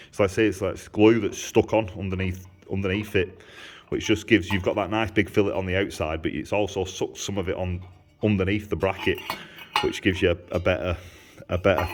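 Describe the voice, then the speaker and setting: This is a man. He talks informally, as a mechanic showing practical work on a handheld camera would. He explains each step plainly and often repeats himself. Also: low voice. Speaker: motorcycle exhaust maker and mechanic. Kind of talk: casual hands-on workshop demonstration. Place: motorcycle workshop. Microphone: handheld camera held by the speaker.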